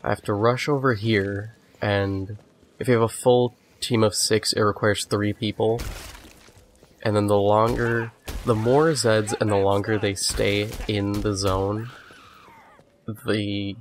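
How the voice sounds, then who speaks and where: A man's voice: lowish voice, takes it slowly, a little hiss or hum. Man with a head cold narrating gameplay. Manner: relaxed, rambling, with restarts. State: has a cold, sniffly. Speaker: gamer doing a walkthrough. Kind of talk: casual gameplay commentary.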